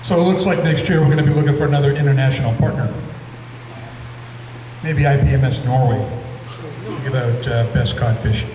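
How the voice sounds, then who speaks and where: A man's voice: medium pitch, steady tone, fairly quick, pauses often, mellow. A man emceeing an awards ceremony in a museum hall, breezy and joking between presenters. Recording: echoey hall from far off with crowd.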